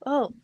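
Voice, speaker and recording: A woman's voice: mellow, no room sound, muffled recording. Young woman, giggly and rambling, dry close phone sound. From Massachusetts. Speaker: host's daughter, co-host. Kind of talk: casual live chat.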